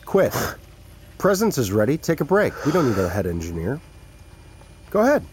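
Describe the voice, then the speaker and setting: An actor playing a pragmatic, persuasive tech executive in a naturalistic audio drama. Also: male voice, medium pitch, wildly swooping pitch, normal speed, darkish tone, slight background noise. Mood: exasperated, placating. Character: pragmatic, placating male tech executive and friend.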